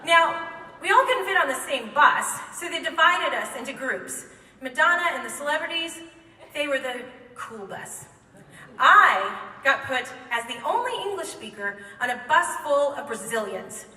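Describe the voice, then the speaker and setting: A woman telling a comic true story live on stage, wry and quick to laugh, recorded in a hall by a microphone set back from her. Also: high-pitched, expressive, sharp tone. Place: theater stage before an audience. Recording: echoey hall, mic from far off.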